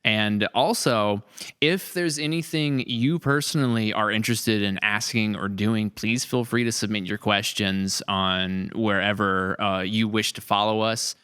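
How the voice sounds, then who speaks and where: A man's voice: fairly low pitch, fairly even intonation, a little slow, a bit bright, smooth, no echo, clean studio sound. A man hosting a casual podcast conversation, jokey and appreciative in manner. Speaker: podcast host.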